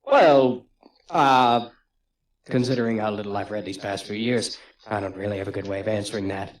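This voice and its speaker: Man playing hesitant schoolboy, lowish voice. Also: normal speed, natural voice, low-quality recording. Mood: hesitant, sheepish. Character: awkward, unsure schoolboy protagonist. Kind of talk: hobby voice-over of visual novel game.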